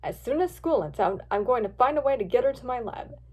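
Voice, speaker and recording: A woman's voice: on the high side, animated, a little fast, husky. A woman voicing a scheming male mad scientist in a read-aloud story, smug, commanding and impatient.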